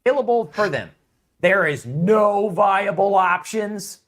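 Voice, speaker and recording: A man's voice: high voice, unhurried, a bit bright. A man ranting in his own online video, mocking and piling up exaggerated warnings of doom. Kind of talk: ranting monologue.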